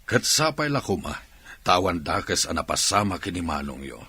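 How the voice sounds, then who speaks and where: Kindly husky Ilocano boss. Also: male voice, low-pitched, sing-song, moderate pace, bright, forceful tone, dry recording, heavily acted. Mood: sympathetic. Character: kind, considerate male boss, concerned for employees. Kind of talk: Ilocano radio drama acting.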